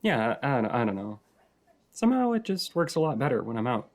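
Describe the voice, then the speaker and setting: A man playing a shy, sweet writer in an intimate audio roleplay, chatting in a hesitant, rambling, softly flirty way. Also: mid-range voice, big pitch swings, a little fast, close to an everyday voice. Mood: nervous, earnest. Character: shy, rambly, sweet regular writing in a coffee shop.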